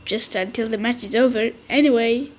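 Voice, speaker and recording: A woman's voice: fairly high pitch, moderate pace, close to an everyday voice. Woman voicing a kind firm mother.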